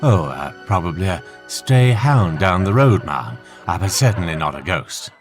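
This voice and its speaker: Casting: male actor as rational gentleman sleuth, low-pitched, courteous, measured, faint music beneath. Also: sing-song. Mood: politely dismissive. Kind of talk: fan audio drama acting.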